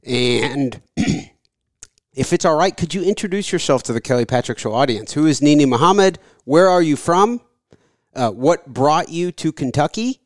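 A man hosting a podcast interview, talking in a relaxed, conversational way with some filler words. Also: a little high, moderate pace, no echo, studio quality. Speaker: podcast host. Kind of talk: casual interview and read sponsor ads.

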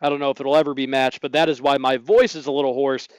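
A man hosting a radio sports talk show, his voice a little hoarse, talking in an energetic, chatty way. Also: medium pitch, fast, no echo.

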